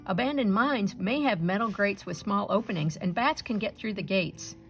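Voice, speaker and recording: Low-voiced woman reading a children's story. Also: moderate pace, soft background music. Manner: expressive, acts out sounds and dialogue. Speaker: storyteller reading a picture book aloud. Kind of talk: animated read-aloud narration for children.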